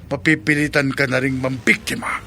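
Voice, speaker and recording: A man's voice: medium pitch, flat delivery, moderate pace, bright, forceful tone, slight background noise, lightly acted. An actor playing an obsessive, menacing male flesh-eating monster in love, in a melodramatic horror radio drama, intense and domineering. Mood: menacing, possessive. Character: obsessive male aswang villain, menacing, lovesick.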